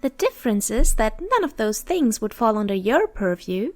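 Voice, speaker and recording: A woman's voice: fairly high pitch, sing-song, darkish tone, no room sound, soft background music. A woman acting a composed, reasoning goddess of life and death in a fantasy audio drama, articulate and challenging. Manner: articulate, challenging, measured wording.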